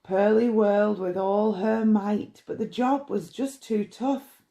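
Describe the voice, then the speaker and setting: A woman reading a rhyming bedtime story in a measured, expressive way. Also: mid-range voice. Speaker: storybook reader.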